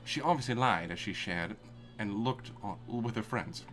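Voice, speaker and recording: A man's voice: on the low side, moderate pace, a bit bright, faint music behind. A man reading a story aloud live for comedy, with an irreverent manner and amused asides. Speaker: reader of fan fiction.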